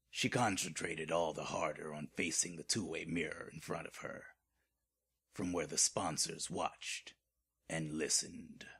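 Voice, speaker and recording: Male narrator for horror audio drama: very low, gravel-rough husky voice, ominous and theatrical. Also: fairly bright tone. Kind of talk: scripted horror story narration.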